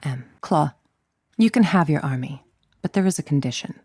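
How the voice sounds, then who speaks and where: A woman's voice: low voice, animated, moderate pace, darkish tone, husky, dry recording, full character voice. An actress playing a cold, calculating female villain scientist in a video game, speaking with clipped, precise authority. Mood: measured, calculating. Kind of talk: dramatic video game voice acting.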